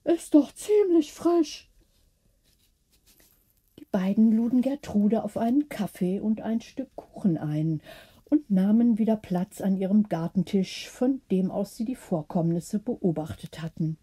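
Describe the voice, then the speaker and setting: Female audiobook narrator for bedtime stories, very mellow and soft-edged, slightly husky, unhurried. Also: mid-range voice, expressive. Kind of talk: scripted bedtime story narration.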